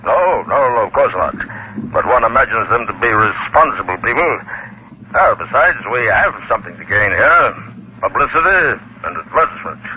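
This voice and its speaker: A man's voice: medium pitch, big pitch swings, normal speed, close to an everyday voice. Vintage radio waxworks manager played by a man: formal, cautious, faintly condescending, muffled sound with slight noise. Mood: cautious, businesslike. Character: brisk, cautious, businesslike male waxworks manager. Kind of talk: 1940s radio drama acting. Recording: muffled, noisy old radio recording.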